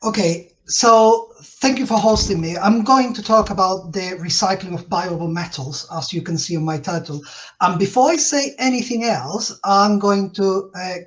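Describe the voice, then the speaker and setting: A man, introducing his science talk in a relaxed, informal way. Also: high-pitched, normal speed, rough recording. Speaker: guest speaker. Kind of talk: presentation introduction.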